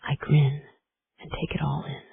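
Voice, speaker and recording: A woman narrating a first-person romance audiobook in a hushed, intimate whisper. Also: deep voice, flat delivery, measured pace, vocal fry, slight background noise. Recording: tinny phone-like recording.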